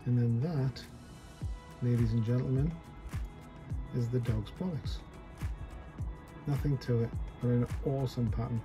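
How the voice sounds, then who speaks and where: A chatty man talks through a fly tying tutorial at his desk, joking at his own expense and thinking aloud as he goes. His voice sits at a middling pitch. Music plays clearly underneath. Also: fairly even intonation, unhurried, long pauses. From North East England. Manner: chatty, self-deprecating, thinks aloud while working. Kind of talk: casual off-the-cuff tutorial.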